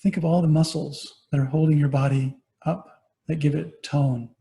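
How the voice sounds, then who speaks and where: A man guiding a meditation as a teacher, giving gentle, unhurried instructions with pauses between phrases. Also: on the high side, fairly even intonation, gravelly. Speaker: meditation teacher, Buddhist monastic. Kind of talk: guided body scan meditation.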